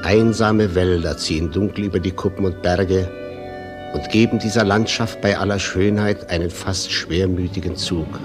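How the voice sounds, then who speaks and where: A man narrating a radio play in a solemn, measured, literary style. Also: low voice. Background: music.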